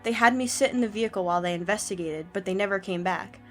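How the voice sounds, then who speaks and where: A woman's voice: medium pitch, fast talker, soft background music, close to an everyday voice. A woman playing an anxious, deferential doctor reporting to a superior in a radio drama. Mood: nervous, deferential.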